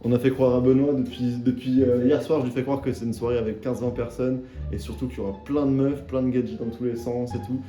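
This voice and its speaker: Young man, teasing, casual chat, warm dark tone, echoey house room. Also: medium pitch, steady tone, fast talker, hardly any pauses. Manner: joking, teasing. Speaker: vlogger. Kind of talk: casual chat among friends. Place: house indoors. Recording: echoey room with faint music.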